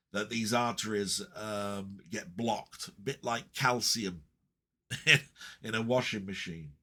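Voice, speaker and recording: Bright-voiced chatty man. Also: mid-range voice. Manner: chatty and meandering, with self-deprecating jokes and frequent ums. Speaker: retro gaming vlogger. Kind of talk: rambling personal vlog chat.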